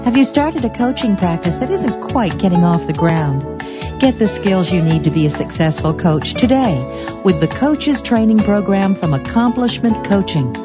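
A woman reading scripted radio announcements and advertising copy. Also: on the low side. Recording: dull audio over music bed.

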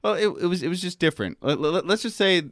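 A man's voice: high-pitched, animated, fast talker, a bit bright, no echo, studio quality. A man chatting casually as a game keeper on a podcast, explaining things in a hesitant, rambling way. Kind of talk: casual chat.

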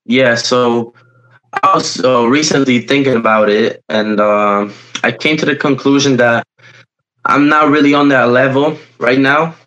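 A young man with Polish as his first language answers an interviewer's questions. He talks hesitantly, with many pauses and fillers while he hunts for the right words. His voice sits at a middle pitch. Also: fairly even intonation, a bit bright, dull audio. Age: young adult. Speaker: footballer guest.

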